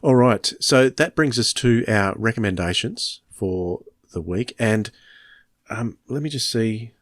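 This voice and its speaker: A man co-hosting a podcast, relaxed, steering the chat between segments. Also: lowish voice, unhurried, dry recording, studio quality. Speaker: podcast co-host.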